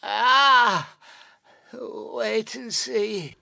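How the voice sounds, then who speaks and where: Gravelly, sly talking tomcat. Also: male voice, high-pitched, very slow, bright, forceful tone, no echo, studio quality, exaggerated voice. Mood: sly teasing. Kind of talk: audio drama dialogue.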